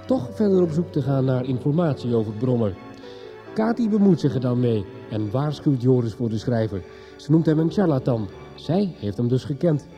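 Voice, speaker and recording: A man's voice: medium pitch, mellow. A man narrating a scripted recap for a radio soap. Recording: dull, band-limited 1990s radio recording.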